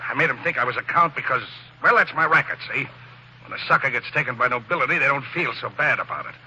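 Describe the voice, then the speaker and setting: A man's voice: medium pitch, quick pace, pushed and bright, a little hiss or hum, rough recording. A slangy con-man fake count. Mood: sly. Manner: tough gangster slang, nervous then pleading. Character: shifty male con man posing as a count. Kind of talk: 1940s radio acting.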